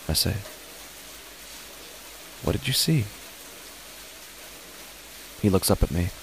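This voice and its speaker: A man playing an uneasy father who narrates his own horror story in a deliberate, measured way with dramatic pauses. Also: deep voice, gravelly, noisy background, heavily acted. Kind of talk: scripted first-person horror narration.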